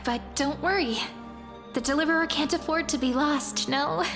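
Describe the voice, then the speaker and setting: A woman voicing a gentle, wistful young storyteller in a game, speaking softly and deliberately. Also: high-pitched, music in the background. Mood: tender. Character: gentle, wistful young female storyteller welcoming an old friend. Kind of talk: game voice acting.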